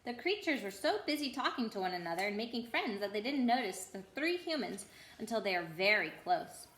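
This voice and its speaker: Bright-voiced woman storyteller, roomy echo. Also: mid-range voice, sing-song, a little fast. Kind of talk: children's book read aloud.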